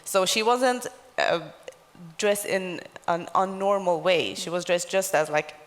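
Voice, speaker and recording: A young woman speaks in a fairly low voice on a conference panel. She tells a personal account, and the large hall adds a long reverb around her. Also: unhurried. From Gothenburg, Sweden. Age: 20s. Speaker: panelist, activist.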